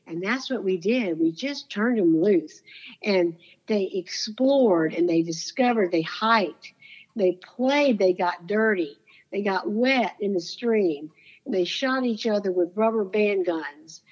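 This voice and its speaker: A woman's voice: medium pitch, expressive, slow pace, darkish tone, husky, dull audio. Earnest woman with a soothing voice. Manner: earnest, heartfelt storyteller. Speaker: interview guest. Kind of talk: casual interview storytelling.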